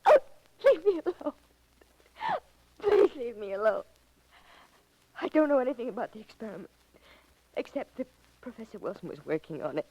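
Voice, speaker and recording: Scared but stubborn hostage gal, old radio melodrama, pitch jumping around. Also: female voice, big pitch swings, moderate pace, pauses often, mellow, low-quality recording. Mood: distressed pleading. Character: defiant captive young woman, frightened but stubborn. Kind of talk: 1940s radio drama acting.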